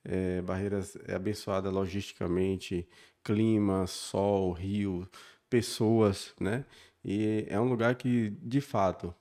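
A man's voice: low-pitched, fairly even intonation, slow. A man in his forties from Bahia with a Bahian accent, a podcast guest telling his life story in an earnest way. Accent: Bahian Brazilian Portuguese. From Bahia, Brazil. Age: 40s. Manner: warm and earnest, frequent tag questions. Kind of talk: casual interview storytelling.

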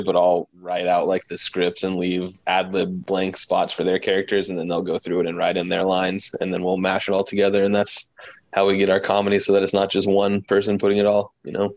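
A young man with an American accent and a deep voice talks casually as a guest. He wanders through his sentences in a self-deprecating way. The sound is dry, with little room around it. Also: completely flat delivery, quick pace, no echo. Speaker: podcast guest, podcast founder. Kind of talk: casual chat.

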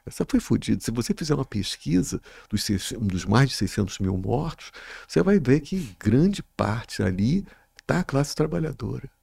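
A man's voice: medium pitch, big pitch swings, normal speed, vocal fry, dark tone, breathy edge, no room sound. A middle-aged man with a Carioca accent, chatting as a guest in a rambling, profane way and repeating his words. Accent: Carioca Brazilian Portuguese. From Rio de Janeiro, Brazil. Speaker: guest, writer and musician. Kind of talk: casual podcast chat.

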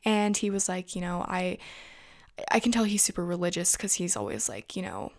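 A young woman with a raspy voice today, chatting casually to her viewers about influencer news and peppering her talk with "like" and "you know". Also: on the low side, big pitch swings, normal speed. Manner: chatty, filler-heavy, frequent "like" and "you know". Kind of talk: casual gossip commentary to viewers.

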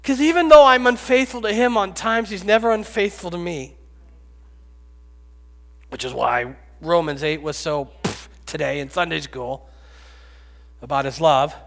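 A man in his fifties preaching a sermon, rising to loud pleading and dropping to hushed whispers. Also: high voice, unhurried. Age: 50s. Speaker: pastor. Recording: long reverb, echoey hall.

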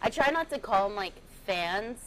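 A woman's voice: medium pitch, animated, moderate pace. A young woman streamer chatting casually on a cruise ship, bubbly and gushing, with a heavy use of "like". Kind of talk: casual chat. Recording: busy crowd noise behind.

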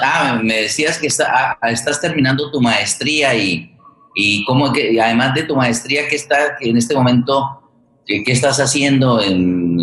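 A man with a Colombian accent hosting a radio theater program conversationally, with halting, unhurried phrasing. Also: mid-range voice, a bit bright, a little hiss or hum. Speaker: host of a radio theater forum. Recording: echoey room sound.

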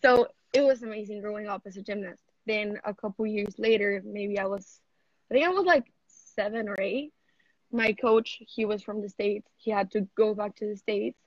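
A woman's voice: mid-range voice, normal speed, dry recording, highs cut off. Teen girl from Mexico, chatty and dry-sounding. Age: teens. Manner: chatty, heavy use of "like". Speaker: interviewee, athlete. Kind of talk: casual interview chat.

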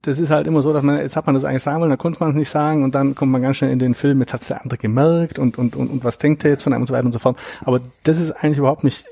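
A man in his thirties, a guest in an interview, explaining at length in a reflective, measured way with a gravelly, husky voice. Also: mid-range voice, steady tone, warm tone, no echo. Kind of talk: conversational interview.

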